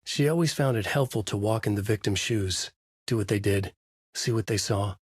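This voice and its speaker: Haunted writer, low husky voice, grave noir horror narration, measured and dramatic, video game, close dry sound. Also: male voice, no room sound. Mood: brooding, measured. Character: brooding, haunted male novelist narrating his horror story. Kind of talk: scripted noir horror narration, inner monologue.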